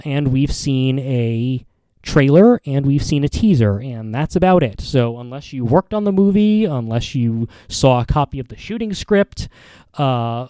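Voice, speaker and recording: A man with a soft-edged, mellow voice of ordinary pitch talks casually as a podcast host. He rambles through his opinions, often repeating and correcting himself as he goes. The sound is dry, with very little room to it. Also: no room sound. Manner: halting, rambling delivery with frequent repeats and self-corrections. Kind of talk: casual opinion commentary monologue.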